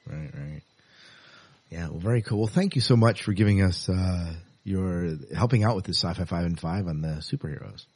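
A man's voice: sits low, moderate pace, darkish tone, slightly rough. A man hosting a podcast in a casual, chatty and polite way, addressing guests and listeners. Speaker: podcast host.

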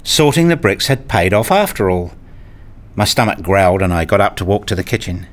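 A man's voice: low voice, lively intonation, a little fast. A man narrating a scripted radio play in the first person.

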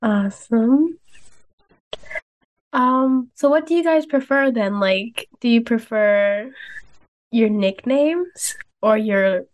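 A woman interviewer asking gentle, measured questions on a podcast. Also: a little high, muffled recording.

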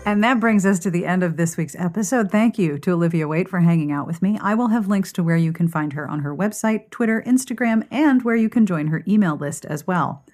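A woman's voice: on the low side, brisk, few pauses, a little mellow, faint music behind. A woman hosting a podcast in an informal, playful, conversational way. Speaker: podcast host. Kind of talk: chatty podcast hosting and sign-off.

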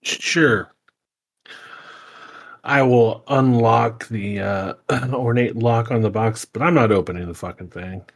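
A man's voice: on the low side, normal speed, creaky, few pauses. A man with a New York accent, casually role-playing in a tabletop game with a sarcastic manner. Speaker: player.

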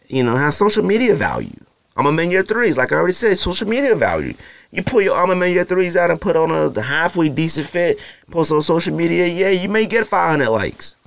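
A man's voice: fairly high pitch, very animated, a little fast, vocal fry, dry recording. A man from Arizona, hosting a podcast and talking casually in a slangy, rambling way, often mimicking other people. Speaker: podcast host. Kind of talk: casual solo podcast talk.

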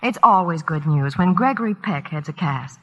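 A woman's voice: on the low side, animated, normal speed, fairly bright tone. An American woman as a Hollywood reporter reading scripted 1940s radio promotion. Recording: muffled old radio broadcast in reverberant hall.

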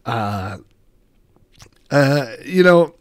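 A middle-aged man with an American accent talks casually as the host of a personal show-and-tell. His delivery is rambling and anecdotal, drifting through reminiscences. His voice sits at an ordinary male pitch, captured dry with very little room sound. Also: animated, very slow, no echo.

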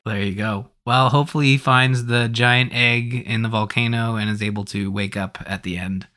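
Bright-voiced man hosting a casual podcast. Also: mid-range voice, steady tone, moderate pace. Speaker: podcast host.

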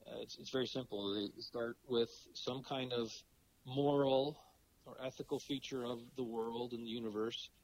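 Raspy man with a cold, halting. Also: mid-range voice, steady tone, a little mellow, slight background noise, rough recording. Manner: halting, many fillers and restarts. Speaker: podcast guest, philosophy professor. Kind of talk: casual interview talk.

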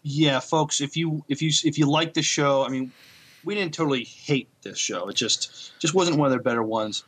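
A man co-hosting a podcast, chatting casually about TV in an agreeable, halting way. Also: a little high, a bit bright, slightly rough. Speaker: podcast co-host. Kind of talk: casual TV review chat.

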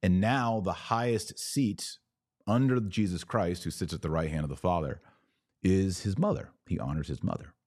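A man's voice: low-pitched, moderate pace, a little mellow, dry recording, clean studio sound. A man hosting a podcast, giving religious commentary and answering viewer comments in an emphatic, didactic way with rhetorical questions. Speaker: podcast host.

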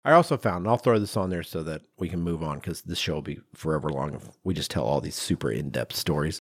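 A man's voice: extremely low pitch, quick pace, breathy edge, no echo. A man, telling stories as a podcast co-host in an emphatic, self-deprecating, conversational way. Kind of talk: animated storytelling in casual podcast chat.

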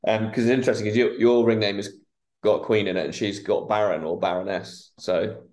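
A man's voice: lowish voice, steady tone, brisk, darkish tone. A man hosting a podcast, asking rambling questions with restarts in a casual interview. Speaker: podcast host.

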